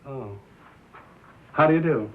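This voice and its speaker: An actor playing a prim, earnest American small-town radio station owner, polite, literal-minded and hesitant, in a 1950s film drama. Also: male voice, medium pitch, lively intonation, takes it slowly. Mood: polite, awkward. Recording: dull noisy 1950s film soundtrack.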